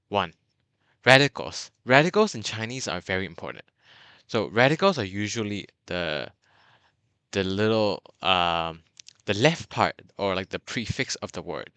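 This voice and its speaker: A man's voice: sits low, pushed and bright, smooth, dry recording. A young man from Burma giving a casual video lesson for beginners, chatty and full of fillers and restarts. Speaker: language tutor.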